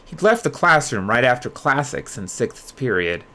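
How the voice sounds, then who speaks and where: A man hosting a livestream readalong, moving between expressive storybook narration and casual chat with viewers. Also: medium pitch, normal speed. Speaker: streamer host reading aloud.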